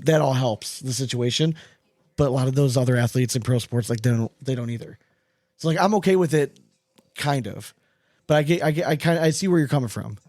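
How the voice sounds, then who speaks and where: Fast-talking man in casual podcast chat. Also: mid-range voice, darkish tone, dry recording, clean studio sound. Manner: blunt, informal, swears casually. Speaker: podcast host.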